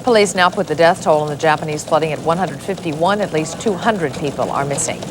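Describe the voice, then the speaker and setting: A woman anchoring a television news bulletin, reading the headlines in a crisp, measured way. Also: low-pitched, background noise.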